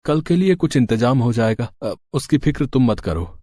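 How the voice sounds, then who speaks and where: A man voicing a kind, humble working-class father in a cartoon moral story, gentle and reassuring. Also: medium pitch, lively intonation, normal speed, no room sound, clean studio sound, natural voice. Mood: calm, reassuring. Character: kind, humble, poor rickshaw-driver father, reassuring husband.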